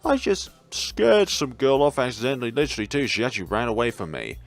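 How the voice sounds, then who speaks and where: A man voicing an awkward, unsure male transfer student in a visual novel, hesitant and quick to blame himself. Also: medium pitch, very animated. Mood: sheepish. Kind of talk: visual novel character reading.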